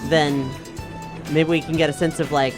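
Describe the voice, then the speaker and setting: A man with a fairly high voice chats through a tabletop game as a player. He is tentative and thinks aloud, repeating words as he works things out. Music is clearly heard behind him. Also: flat delivery, moderate pace. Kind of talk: casual improvised game discussion. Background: background music.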